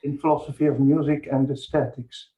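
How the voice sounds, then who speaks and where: A man's voice: on the high side, flat delivery, fairly quick, narrow, tinny audio. A man introducing himself as a student in an online seminar, hesitant with fillers. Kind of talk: self-introduction on a call.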